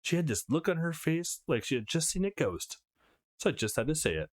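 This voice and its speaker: Brash, cheeky teen girl played by a man, crude swagger, very animated pitch, visual novel character. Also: brisk. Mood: boastful. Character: brash, foul-mouthed redheaded teenage girl, teasing and bragging. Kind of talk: reading visual novel dialogue aloud.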